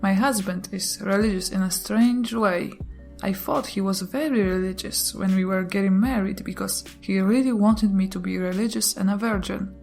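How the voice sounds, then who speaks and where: A woman whose first language is not English, reading online posts and comments aloud in a steady way, with brief chatty asides. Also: medium pitch, normal speed, gravelly, faint music behind. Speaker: YouTuber reading Reddit posts.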